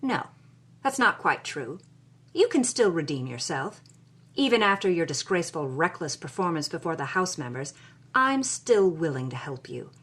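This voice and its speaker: Woman playing nasty rich old grandma, husky, swoopy menacing delivery, cold and haughty drama monologue. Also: sits low, very animated, a bit bright, full character voice. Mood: cold menace. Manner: haughty, menacing, deliberate emphasis. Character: cold, vindictive older wealthy grandmother reading her threatening letter.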